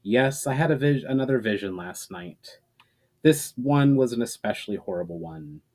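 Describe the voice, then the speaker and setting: A man's voice: medium pitch, expressive, moderate pace, a little mellow, close to an everyday voice. A man voicing an earnest, troubled mage in a storybook reading, grave and explanatory in manner. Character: troubled, earnest male mage haunted by visions.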